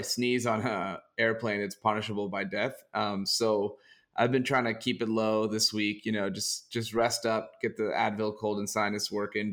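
Raspy hoarse young guy, lowish voice, chatty and jokey on a sports pod. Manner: relaxed, talkative, jokey. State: hoarse from a sinus infection. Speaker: co-host. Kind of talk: casual sports podcast chat.

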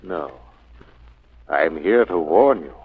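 Low, ominous invisible avenger. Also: male voice, animated, breathy edge, heavily acted. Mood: ominous taunting. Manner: ominous, deliberate proclamation. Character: invisible male avenger, sinister, taunting, commanding. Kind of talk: 1940s radio acting. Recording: muffled 1940s radio recording.

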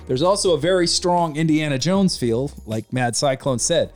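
A man's voice: fairly high pitch, moderate pace, faint music behind. Opinionated man ranting about a movie. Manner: opinionated, rambling, animated retelling. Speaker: podcast co-host. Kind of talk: casual movie review chat.

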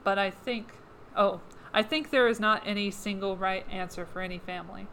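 A woman at a medium pitch reads long news passages aloud and breaks off now and then for dry, sarcastic comments. Her voice is wearing thin and strained. A faint hiss sits under the recording. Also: fairly even intonation, moderate pace, creaky, a bit bright. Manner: sarcastic asides between read passages. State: losing her voice. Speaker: podcast host.

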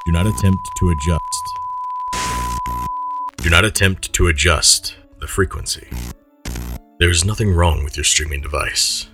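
An ominous voice , put on by a man, announces that it has seized control of your signal, each line slow and measured. It sits very low and deep. A clear music bed runs underneath. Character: ominous male broadcast voice that seizes the airwaves. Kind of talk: parody show intro.

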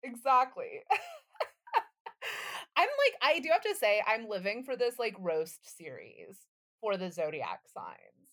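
A woman's voice: a little high, wildly swooping pitch, slow, pauses often, a bit bright. A woman co-hosting a podcast, chatting in a casual, comedic way with a playful, slangy manner. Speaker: podcast host.

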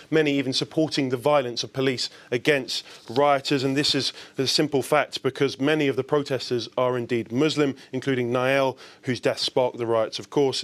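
A man giving a live press review on a news programme, explaining what the papers say. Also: mid-range voice.

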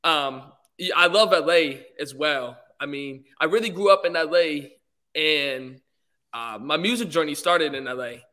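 A man's voice: on the high side, measured pace, bright, forceful tone. A young man from England, a guest on a podcast, talking politely and easygoingly with many fillers. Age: young adult. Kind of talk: casual interview.

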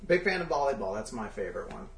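A man co-hosting a casual family podcast, talking in an animated, rambling way and laughing easily. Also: medium pitch, fast talker, roomy sound, far from the mic, crowd noise. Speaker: podcast co-host.

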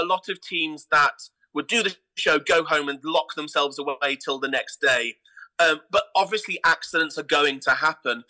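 A man talking as an interview guest, telling anecdotes in an animated, theatrical way. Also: fairly high pitch, poor audio. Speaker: guest, theatre producer and pantomime dame.

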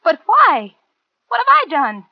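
A woman's voice: a little high, lively intonation, unhurried, no echo. An actress in a 1940s radio crime drama playing a clingy, devoted American gangster's girlfriend with slangy talk. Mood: pleading. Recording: muffled, dry 1940s radio broadcast.

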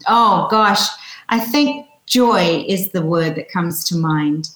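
A woman in her forties with an Australian accent, chatting as an interview guest and pausing often with fillers and restarts. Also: lowish voice, reverberant. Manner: warm, hesitant, many fillers and restarts. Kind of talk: casual interview chat.

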